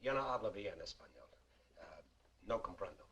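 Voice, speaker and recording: A weary American hostage in an old noir film. His voice is husky and a little low, and he speaks in dry, terse lines with quiet defiance. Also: lively intonation, a little fast, lo-fi sound, heavily acted. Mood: guarded. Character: weary, defiant male American captive of a gunman. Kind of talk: 1950s film noir acting.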